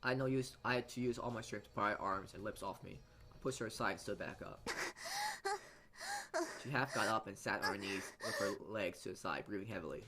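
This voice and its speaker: A man with a fairly low voice narrates a visual novel for a let's play. He reads dramatically but haltingly, often tripping on words and starting them again. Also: noisy background. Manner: halting reading, often stumbling and repeating words. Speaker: gamer reading a visual novel aloud.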